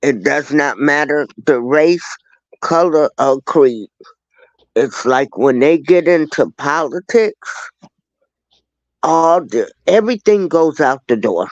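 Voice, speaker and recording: Slow, calm man on lo-fi audio. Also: fairly high pitch, dry recording. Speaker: guest. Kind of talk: casual livestream talk.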